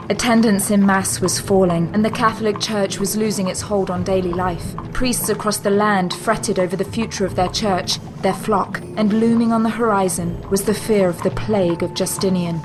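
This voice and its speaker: A woman narrator reading scripted dramatic storytelling in a formal, measured, literary manner. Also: medium pitch, background noise. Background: music.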